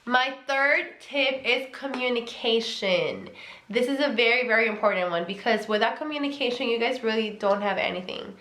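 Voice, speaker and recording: A woman's voice: on the high side, normal speed, a bit bright, slight background noise. A young woman with a Mexican accent giving relationship advice in a casual vlog, earnest and emphatic, repeating key words. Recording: echoey room.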